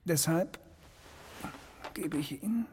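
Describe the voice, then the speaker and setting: An actor playing a secretive, courteous and menacing crime lord in a radio drama, with measured, theatrical delivery. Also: male voice, a little high, animated, a little mellow, a little hiss or hum. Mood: solemn, courteous. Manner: measured, theatrical, dropping into whispers. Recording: very reverberant hall.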